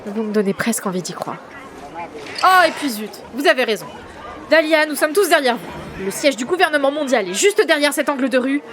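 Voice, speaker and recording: A woman's voice: high voice, lively intonation, fairly quick, fairly bright tone, some chatter behind. A woman playing a skeptical, dry-witted sergeant in a comic audio drama, with a wry, sarcastic manner. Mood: encouraged.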